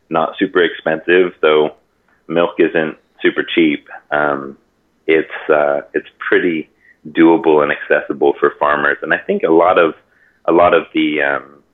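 Man from Hawaii, extremely deep voice, narrow phone-like sound, casual explainer, halting delivery. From Hawaii, United States. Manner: halting, frequent pauses mid-sentence. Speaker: interview guest, natural farming expert. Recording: narrow tinny phone-like line.

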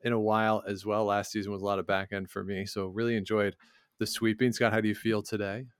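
A man's voice: on the low side, level tone, quick pace, smooth, no echo, studio quality. A man with a Canadian accent co-hosting a casual sports talk show and recapping results. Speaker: podcast co-host.

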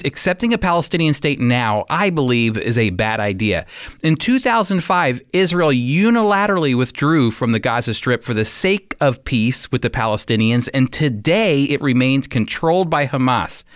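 A man's voice: medium pitch, no room sound, studio quality. An American man presenting scripted radio commentary and Bible teaching as a co-host. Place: dry studio.

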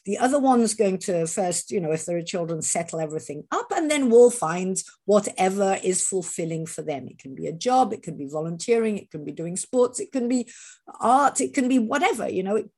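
A woman in her fifties hosting an interview podcast, talking in an animated way and often restarting her sentences. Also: medium pitch, fairly quick, husky. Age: 50s. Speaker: podcast host. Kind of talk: conversational interview.

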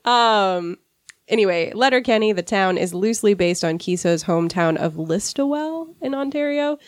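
A woman's voice: medium pitch, lively intonation, moderate pace, no echo, clean studio sound. A woman from rural Washington hosting a casual podcast discussion, sniffly from a cold and chatty, with wry asides. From rural Washington, USA. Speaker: podcast host.

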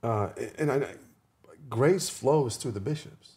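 A man talking casually as a podcast guest, in a halting way, often correcting himself as he goes. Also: medium pitch, dark tone. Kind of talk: casual conversational podcast discussion.

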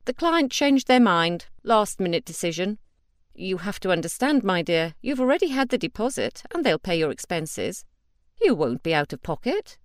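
A woman's voice: medium pitch, sing-song, moderate pace, no room sound, studio quality, close to an everyday voice. A woman doing an oily scheming male fixer.

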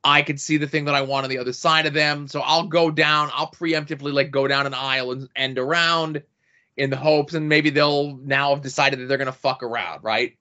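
A man co-hosting a podcast in casual chat, with a big loud voice and a blunt, profane way of talking. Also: medium pitch, steady tone, brisk. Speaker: podcast co-host. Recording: dull lo-fi podcast audio.

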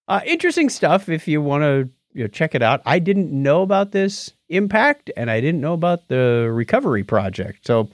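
A man's voice: on the high side, very animated, moderate pace, few pauses, no echo, studio quality. A man co-hosting a podcast in casual chat, with a jokey way of acting out what other people say. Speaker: podcast co-host.

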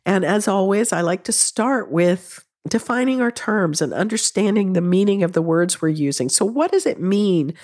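A woman hosting her podcast, speaking in an earnest, conversational way while congested from a head cold, her throat a little raw and hoarse. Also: mid-range voice, lively intonation, moderate pace, hardly any pauses, darkish tone. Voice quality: slightly hoarse. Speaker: podcast host. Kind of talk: solo podcast talk with ad read.

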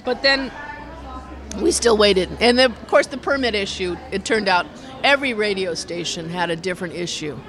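A community radio organizer talks in a casual interview at a mid-range pitch. The explanations ramble along with animation, circling back and piling up detail. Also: normal speed, a bit bright. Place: inside a brewery restaurant.

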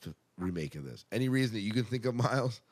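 A man's voice: medium pitch, quick pace, vocal fry. A man hosting a podcast, chatting casually about movie news and putting questions to his co-host. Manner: conversational, tosses questions to co-host.

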